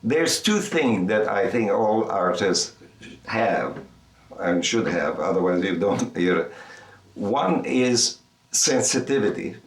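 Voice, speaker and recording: An older man from Egypt, an artist, speaking in a reflective documentary interview, halting and repeating words as he thinks aloud. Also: fairly low pitch. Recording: roomy, mic at a distance.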